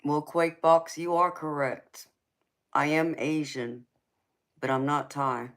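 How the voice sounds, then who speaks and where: A woman with a Southern US accent talks with her live stream viewers in an easy, chatty way. She speaks at an unhurried pace, laughs often and calls people baby. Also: low-pitched, steady tone. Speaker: tarot reader hosting a live stream. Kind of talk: casual live chat with viewers.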